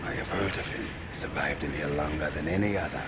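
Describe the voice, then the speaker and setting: An actor playing a weary but friendly prisoner in a game, confiding in halting, non-native English. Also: male voice, very deep voice. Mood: weary, resigned. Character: weary, friendly foreign prisoner, labour-camp survivor. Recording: very noisy, machinery and vehicles behind.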